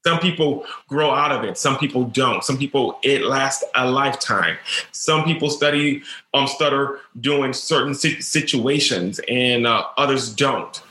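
Teacher, male, stutters and repeats words, earnest, bright tone in a roomy space. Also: medium pitch, measured pace. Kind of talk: personal storytelling interview.

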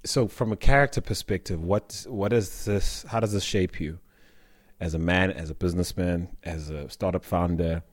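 Male interviewer with a very deep voice, thoughtful and probing, dry close recording. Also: moderate pace, no room sound. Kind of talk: interview questions.

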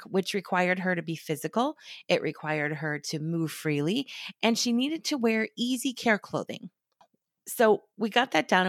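A woman's voice: mid-range voice, fairly bright tone, no room sound, clean studio sound. A middle-aged woman hosting a style podcast in a friendly, chatty way, her voice a little scratchy from a recent cold. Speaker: podcast host, stylist. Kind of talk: scripted podcast talk.

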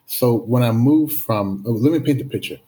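A man's voice: mid-range voice, normal speed, mellow, poor audio. Middle-aged man with a slight lisp. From Canada. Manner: reflective, frequent restarts and repeated words. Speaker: guest. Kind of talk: conversational interview storytelling. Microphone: video call.

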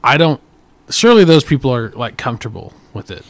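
A man's voice: mid-range voice, very animated, brisk, no echo. A man from the Texas Panhandle hosting a podcast, chatting casually and rambling into asides. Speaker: podcast host. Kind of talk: casual chat.

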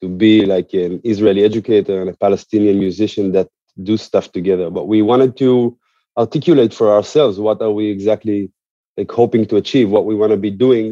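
A man speaking as an interview guest, explaining in an earnest, conversational way with halting, repeated words. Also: on the low side, steady tone, warm tone, no room sound, highs cut off. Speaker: interview guest, musician and activist.

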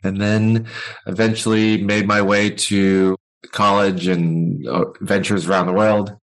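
A man from Taiwan with a low voice, answering interview questions as a podcast guest. He recounts his story plainly and matter-of-factly. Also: little pitch movement, a little slow, low-quality recording.

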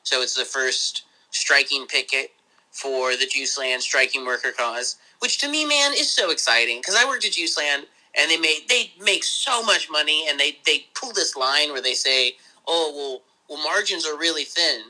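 Man, high voice, podcast host, very bright tone, excited rambling. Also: moderate pace, rough recording. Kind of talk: casual podcast chat. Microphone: phone call.

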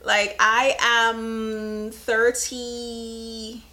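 A woman's voice: on the high side, level tone, very slow, sharp tone. A woman in her thirties hosting a casual live podcast, chatting frankly and laughing often. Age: 30s. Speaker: podcast host. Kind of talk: casual live-stream chat.

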